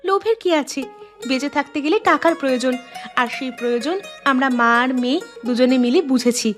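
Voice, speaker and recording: A woman playing a gentle, earnest village mother in an animated moral story, polite and patient. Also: sits high, brisk, faint music behind, natural voice.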